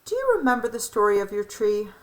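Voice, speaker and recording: A woman voicing an affectionate, proud old grandfather telling family memories in a children's storybook, with fond, animated storytelling. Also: medium pitch, fast talker, lightly acted, low-quality recording.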